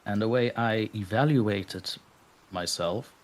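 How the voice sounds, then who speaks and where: Low-voiced modest Belgian man. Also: slow. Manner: modest, laughs often. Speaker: guest narrator. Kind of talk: casual interview chat.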